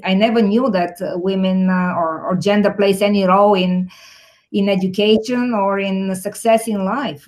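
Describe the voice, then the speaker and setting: A woman's voice: mid-range voice, darkish tone, low-quality recording. Bulgarian-born woman, thoughtful guest. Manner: thoughtful, many fillers and restarts. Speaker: guest, tech executive. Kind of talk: interview answers.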